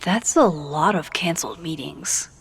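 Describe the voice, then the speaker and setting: A woman's voice: lowish voice, lively intonation, measured pace, husky, full character voice. An actress playing a dry-witted, introspective young woman in a naturalistic video game, speaking in an understated, wry, hesitant way. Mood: musing, curious.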